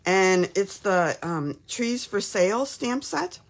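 A woman from Texas in her fifties, slightly hoarse from a cold, chatting casually while hosting a live craft demo from her home craft room. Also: medium pitch, expressive, slow. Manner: chatty, upbeat, lots of "um"s.

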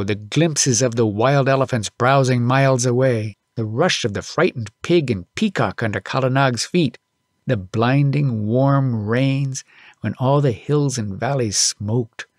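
A man narrating an audiobook as an expressive storyteller. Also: mid-range voice, dry recording, clean studio sound.

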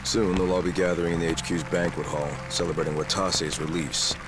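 A man playing a stern, formal yakuza clan chairman in a video game, speaking gravely and deliberately. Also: deep voice, level tone, background noise, close to an everyday voice. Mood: calm, planning. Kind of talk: video game cutscene acting.

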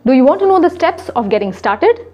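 A woman's voice: sits high, big pitch swings, mellow, dull audio, soft background music. A young woman, a retail consultant presenting a scripted explainer to camera in a studio, instructive and emphatic. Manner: brisk, instructive, emphatic with hand gestures.

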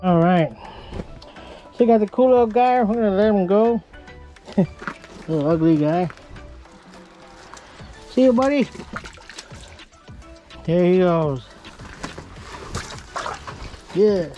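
A man from Minnesota giving relaxed, chuckling commentary while ice fishing inside a small shelter, picked up by an action camera. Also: high voice, takes it slowly, long pauses, highs cut off, soft background music. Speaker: fishing vlogger.

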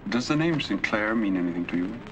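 An actor playing a middle-aged wealthy dying man in a 1940s film, calm and persuasive. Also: lowish voice, fast talker, muffled recording, lightly acted. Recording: old film soundtrack, distant and noisy.

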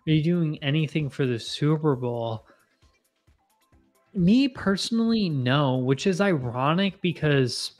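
A man in his mid-twenties hosting a casual live stream, rambling and self-deprecating as he chats with viewers from a home bar on a boom mic. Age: 20s. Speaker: livestream host. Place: home bar with bottle shelves, indoors. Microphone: boom mic in front of face.

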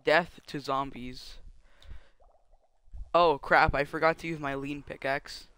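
A young man casually commenting while he plays a game on stream, rambling and often restarting his sentences. His voice is high and bright, with a faint hiss in the background. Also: level tone, takes it slowly. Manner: rambling, chatty, trips over restarts while talking to chat. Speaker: live streamer.

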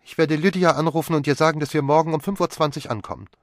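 A man voicing a flippant, restless young woman who talks with quick, mocking sharpness in an audiobook. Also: a little high, steady tone, no echo, studio quality, pitched above the performer's own voice, close to an everyday voice. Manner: teasing, quick and sharp-tongued. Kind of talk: audiobook character voice.

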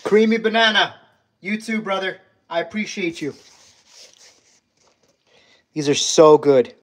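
A man's voice: sits high, unhurried, a bit bright. A young man from Hungary hosting a casual livestream, chatting with viewers and reading out their comments, filmed on a handheld phone in a house under renovation. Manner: chatty, reads viewer comments aloud, says guys often. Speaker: livestream host. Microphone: phone held at arm's length.

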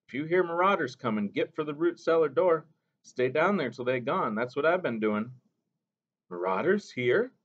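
A man's voice: on the high side, expressive, moderate pace, pitched above the performer's own voice. A man voicing a devout older woman, a doting mother who speaks in a fervent, lilting way. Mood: urgent. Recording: dull lo-fi recording.

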